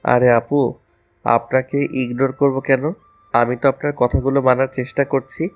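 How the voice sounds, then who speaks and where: Guy acting a sulky hurt young student, pretty even tone, curt, love story audio with soft music. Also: mid-range voice, moderate pace, natural voice. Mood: sulky, distant. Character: heartbroken young male college student, sulky and proud.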